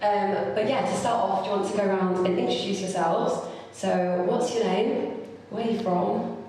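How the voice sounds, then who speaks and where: Mellow-voiced woman moderator, echoey. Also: mid-range voice, fairly even intonation, normal speed. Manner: casual, friendly, "um" and "so, like". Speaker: panel moderator. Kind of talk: interview questions. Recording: echoey hall, distant mic.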